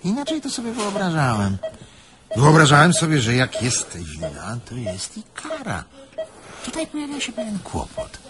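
A man's voice: on the high side, huge pitch swings, moderate pace, background noise. A wildly swooping, agitated male thief. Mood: agitated rambling. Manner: agitated, rambling, swinging from defiance to self-pity. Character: defiant, rattled young male thief waking up confused, rambling. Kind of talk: theatrical radio play acting.

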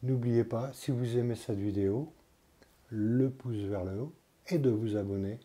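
A man's voice: mid-range voice, unhurried, dark tone. A man in his sixties from France, vlogging outdoors on a lapel mic and telling a story plainly, with many hesitations. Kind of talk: casual vlog storytelling. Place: outdoors by a roadside and parking lots. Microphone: clip-on lapel mic.

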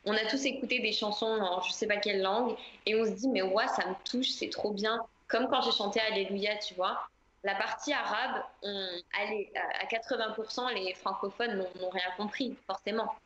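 A woman singer answering interview questions in a relaxed, chatty way, with many fillers. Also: medium pitch, normal speed, roomy sound, highs cut off. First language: French.

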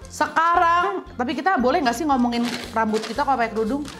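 A woman's voice: sits high, fast, over music. A woman in her thirties with a Betawi accent, chatting casually and animatedly as a guest. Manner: chatty, animated, with playful put-on voices. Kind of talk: casual chat. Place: bright indoor room.

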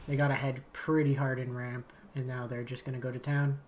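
Streamer type: slightly raspy man, low-key play-by-play, mid-pitch, light background hiss. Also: little pitch movement, fairly quick, darkish tone. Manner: thinking aloud, low-key, muttered asides. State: slightly raspy from long talking. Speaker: game streamer. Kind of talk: casual gameplay commentary. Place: home streaming setup.

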